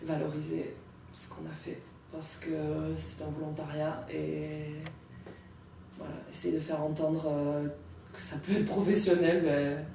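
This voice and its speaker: Gravelly flat voice in a roomy hall. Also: mid-range voice, measured pace, noisy background. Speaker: former volunteer. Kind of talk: casual testimonial. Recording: distant mic in a very echoey room.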